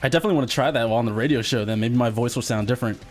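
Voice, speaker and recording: Slightly nasal man co-hosting a chat show, playful and quick, faint music behind. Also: medium pitch. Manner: joking, laughs often, quick banter. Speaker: podcast co-host. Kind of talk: casual chat.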